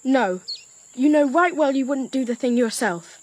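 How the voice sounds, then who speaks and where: A woman's voice: sits high, lively intonation, normal speed, darkish tone. A woman voicing an earnest, brave young cabin boy in an audio drama. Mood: earnest, resolute.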